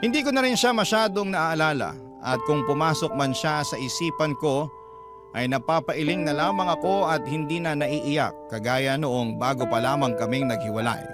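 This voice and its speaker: A man's voice: fairly high pitch, normal speed. A man narrating a listener's letter in the first person, in a confiding storytelling manner. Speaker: letter reader. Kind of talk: reading a listener's letter aloud as radio drama narration. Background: loud guitar music.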